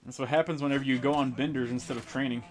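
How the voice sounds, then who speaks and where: A man in an average register talks through a game on a live stream. His talk is blunt and full of swearing, and it flares into loud, excitable bursts. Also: medium pitch, rapid-fire, faint music behind. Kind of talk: casual game stream chat.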